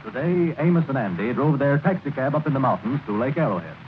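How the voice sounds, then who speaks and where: A man's voice: medium pitch, lively intonation, quick pace. A man narrating as a radio announcer in scripted 1930s style. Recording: crackly 1930s radio broadcast, narrow band.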